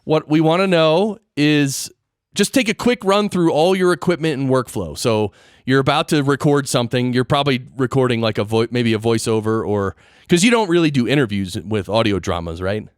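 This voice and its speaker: A man's voice: medium pitch, expressive, normal speed, clean tone, dry recording, studio quality. A man hosting a podcast in casual interview chat, playful and slangy, given to exclamations. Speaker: podcast host.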